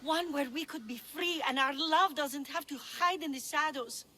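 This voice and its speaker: High-voiced pleading young lover. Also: female voice, moderate pace, sharp tone. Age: young adult. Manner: earnest, pleading. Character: pleading young runaway noble daughter in love. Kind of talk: video game voice acting.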